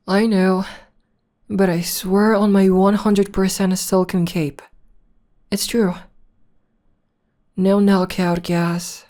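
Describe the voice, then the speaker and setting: A woman's voice: lowish voice, dark tone. A woman playing a theatrical female supervillain in an ASMR roleplay, arch and teasing, coaxing and tender with a beloved enemy. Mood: earnest, playful. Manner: arch, unhurried, coaxing, mock-grand.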